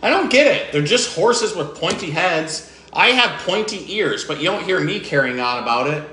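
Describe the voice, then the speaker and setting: A man's voice: high-pitched, lively intonation, normal speed, pushed and bright, reverberant, slight background noise. A man reading aloud as a grumpy, jealous male goblin who complains indignantly. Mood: grumbling.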